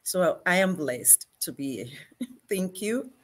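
A woman's voice: on the low side, very animated, takes it slowly. A middle-aged woman with a Nigerian accent, speaking as an interview guest on a video call. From Nigeria, Benin City. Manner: laughs easily while talking. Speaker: guest, fashion designer. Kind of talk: interview storytelling. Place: indoor fashion showroom with mannequins.

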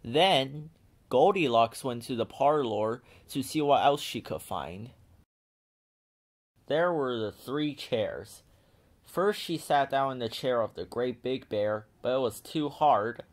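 A man reads a children's picture book aloud as narrator. His pitch is middling and swings in a lively way through the storytelling. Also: measured pace. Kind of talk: animated scripted storybook narration.

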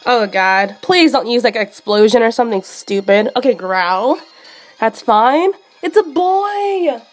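A young woman streaming a handheld game, sick and losing her voice, chatting excitably with many fillers. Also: on the high side, measured pace, faint music behind. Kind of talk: live shiny hunting commentary.

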